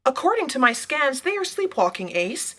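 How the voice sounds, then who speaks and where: A woman's voice: high voice, very animated, moderate pace, fairly bright tone, heavily acted. A woman playing an unflappable, literal-minded sidekick, speaking precisely and formally in a comic sci-fi audio drama. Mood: informative. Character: literal-minded, unflappable female sidekick reporting scan results.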